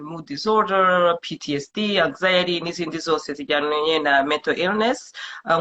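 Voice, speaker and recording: Bright-voiced Rwandan woman explaining. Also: deep voice, lo-fi sound, slight background noise. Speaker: psychiatric nurse practitioner, guest. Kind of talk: conversational explanation.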